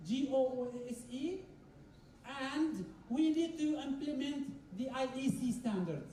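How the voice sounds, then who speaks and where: A woman's voice: high-pitched, mellow, a little hiss or hum. A woman giving a technical conference lecture, explaining step by step, repeating phrases and asking the audience questions. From Kuwait. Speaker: conference speaker. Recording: echoey hall, distant mic.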